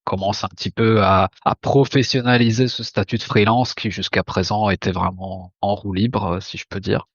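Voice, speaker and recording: A man's voice: fairly low pitch, steady tone, normal speed, few pauses, breathy edge, no room sound. A man in his thirties from Italy, a graphic designer, speaking hesitantly with many fillers as a podcast guest. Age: 30s. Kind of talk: casual interview chat. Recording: dull lo-fi sound.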